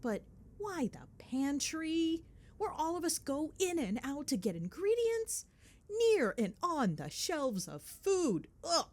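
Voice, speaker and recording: Animated gossipy girlfriend, acted by a woman. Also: high-pitched, slow, heavily acted. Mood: exasperated, amused. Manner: animated, playful, sweary, dramatic griping. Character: chatty, gossipy girlfriend venting on the drive home. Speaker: ASMR roleplay voice actor. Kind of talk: ASMR girlfriend roleplay, casual phone chat.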